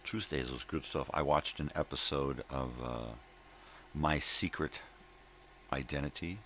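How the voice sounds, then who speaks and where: A middle-aged man with a gravelly voice hosting a casual solo vlog, halting and repeating himself as he grumbles his opinions. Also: very deep voice, fairly bright tone. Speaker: host of a daily morning video log. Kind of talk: rambling casual solo chat. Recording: noticeable background noise.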